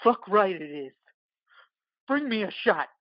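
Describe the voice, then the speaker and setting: A man playing a wounded, hulking biker in an audio play, husky and bitter, swearing through gritted delivery. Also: sits high, very animated, unhurried, no room sound, lo-fi sound. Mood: gruff, defiant. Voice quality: husky, rough. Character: wounded, hulking, foul-mouthed male biker, gruff and bitter.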